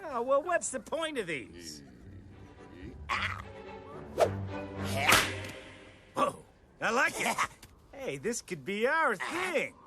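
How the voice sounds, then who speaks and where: A man voicing a bold, bossy, impulsive little penguin leader in a cartoon, brash and excitable. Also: very high-pitched, measured pace, heavy background noise, heavily acted. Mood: brash, excited. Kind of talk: animated film comedy acting.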